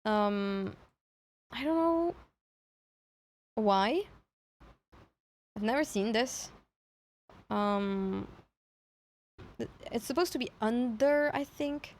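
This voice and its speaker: A woman's voice: a little high, extremely slow, long pauses, a little mellow. A woman chatting casually on a livestream, hesitant and thinking aloud as she repeats herself. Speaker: streamer reacting to horror videos.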